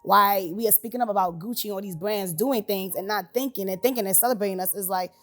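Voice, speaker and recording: A woman panelist rattles off her points at a fast clip. She speaks with firm, opinionated emphasis. Faint music hums in the background. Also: mid-range voice. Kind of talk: casual discussion.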